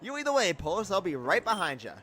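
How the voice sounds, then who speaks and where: Man voicing a brash, high-pitched eager sidekick. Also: very animated, brisk, bright, forceful tone, full character voice. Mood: fired up. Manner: boisterous, slangy.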